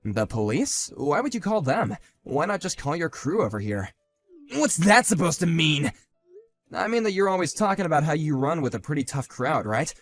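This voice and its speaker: A man voicing a calm, sardonic grown man who talks in a level, dry and cutting way, in a one-man voiced drama. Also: fairly high pitch, moderate pace, soft background music.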